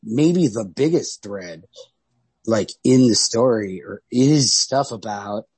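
A man's voice: fairly low pitch, lively intonation, slow, darkish tone, slightly rough, dry recording. A man co-hosting a podcast, discussing literature casually and thinking aloud in a tentative, hedging way. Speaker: podcast co-host.

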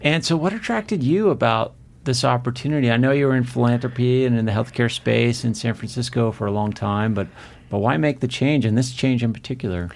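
A man's voice: medium pitch, fairly quick, creaky. A man hosting a podcast interview, asking questions and offering brief acknowledgments. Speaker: podcast host. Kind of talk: conversational interview.

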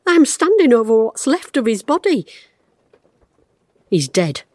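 An actress playing a retired woman detective who is losing her memory, speaking a hushed, halting inner monologue. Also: fairly high pitch, big pitch swings, no room sound. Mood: dazed. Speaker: actor in an audio drama. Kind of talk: first-person audio drama narration.

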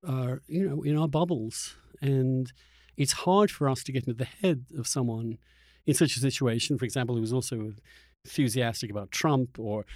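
A man hosting a conversational interview, thinking aloud in a halting, searching way and often repeating words as he goes. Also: mid-range voice, darkish tone, dry recording, studio quality. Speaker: podcast host interviewer.

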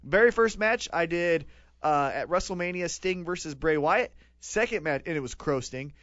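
A man's voice: fairly high pitch, animated, moderate pace, fairly bright tone, no echo, lo-fi sound. A man in his late twenties co-hosting a podcast, rambling and joking in casual chat. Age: 20s. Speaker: podcast co-host.

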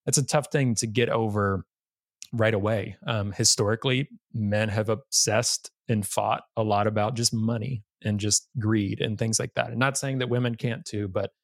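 A man's voice: lowish voice, fairly even intonation, normal speed, no echo. A man hosting a podcast, talking casually and rambling, leaning heavily on "like" and restarting his sentences. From United States, Massachusetts area. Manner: rambling, heavy use of "like", restarts. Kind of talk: casual interview conversation.

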